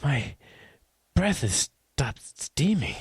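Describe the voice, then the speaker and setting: Husky, halting freezing man. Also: fairly high pitch, very animated, dry recording, clean studio sound, full character voice. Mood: numb, fading. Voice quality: husky, gravelly, breathy. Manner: halting, stammering, dramatic. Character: desperate freezing man, anxious and pleading. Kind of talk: horror audio drama acting.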